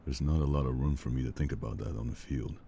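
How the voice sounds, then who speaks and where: A man's voice: a bass voice, quick pace, mellow, a little hiss or hum. A man playing a weary, guarded, dutiful military lieutenant in a naturalistic drama. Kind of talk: naturalistic audio drama acting.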